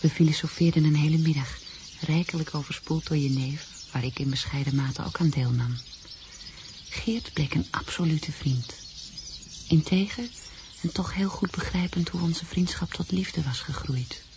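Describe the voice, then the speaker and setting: A woman's voice: sits low, moderate pace, background noise. An actress playing an anxious, devoted young woman in love in a radio drama. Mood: warm, grateful.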